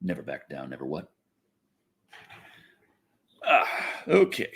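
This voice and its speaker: A man's voice: a bass voice, huge pitch swings, slow, vocal fry, husky. A man chatting casually on a livestream, rambling and griping in a wry, exasperated, sweary way. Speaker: livestream host. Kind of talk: casual rambling livestream chat, reading comments.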